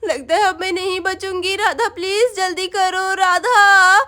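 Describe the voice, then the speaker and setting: A woman's voice: extremely high pitch, normal speed, a bit bright. A woman voicing an injured mother who pleads tearfully for help. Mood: pleading.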